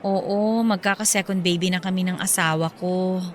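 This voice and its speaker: A woman's voice: on the low side, fairly even intonation, normal speed, slight background noise, close to an everyday voice. An actress playing a considerate, bashful young mother in a Filipino radio drama, speaking in a hesitant, apologetic way. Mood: confessing.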